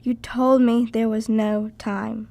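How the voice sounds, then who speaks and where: A woman's voice: a little high, little pitch movement, slow, creaky, a little mellow. A teenage girl in an amateur school radio play, acting a demanding, discontented wife and lady of the manor. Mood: resentful.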